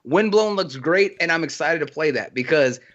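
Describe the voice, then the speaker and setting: A man discussing video games casually as a panelist, eager and quick to argue his point. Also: on the high side, expressive, a bit bright. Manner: eager, argumentative, interrupting. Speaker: podcast panelist. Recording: slightly dull lo-fi audio.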